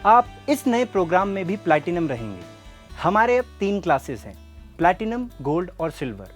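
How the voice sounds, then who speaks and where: A man's voice: high voice, very animated, normal speed, soft background music. A man playing an earnest, persuasive sales rep, explaining things directly to the listener in a scripted training film. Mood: persuasive, polite. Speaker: presenter and sales representative. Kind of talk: scripted training film narration and role-play.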